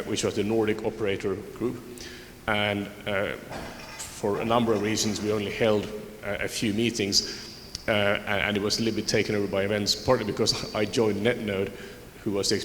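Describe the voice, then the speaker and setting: A man's voice: fairly low pitch, a bit bright. A man from Finland, a conference panelist, reflecting on his career with frequent restarts. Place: large conference hall. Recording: roomy hall with background noise.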